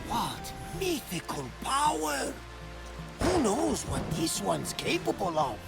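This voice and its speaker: An eager, superstitious old sea captain in a video game cutscene. He talks slowly and theatrically, with huge swings of pitch and a creaky edge, over loud music. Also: huge pitch swings, exaggerated voice. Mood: awed, excited. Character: jovial, superstitious older male sea captain.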